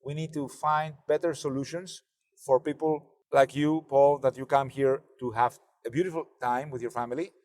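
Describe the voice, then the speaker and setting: Man, 40s, Greek accent, non-native English, measured, pauses for words, mid pitch, long reverb. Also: fairly even intonation, darkish tone. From Greece, Athens. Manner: measured, searching for words in a second language. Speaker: driver and interview guest. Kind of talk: casual conversation. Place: inside a car.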